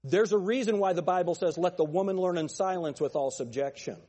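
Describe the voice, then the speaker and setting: A male pastor preaching a fiery sermon with a thin, nasal voice, pressing his points with forceful, repeated phrases. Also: high voice, fairly quick, long reverb tail. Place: church sanctuary.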